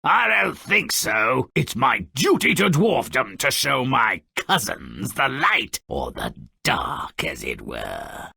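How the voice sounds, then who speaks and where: A man voicing a sardonic, grotesque little dwarf storyteller in a video game, gleefully malicious and melodramatic, with a raspy, gravelly voice and a sneering, mocking delivery. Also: medium pitch, wildly swooping pitch, slow, hardly any pauses, sharp tone, dry recording, clean studio sound, heavily acted. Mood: scheming, exasperated. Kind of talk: theatrical video game character narration.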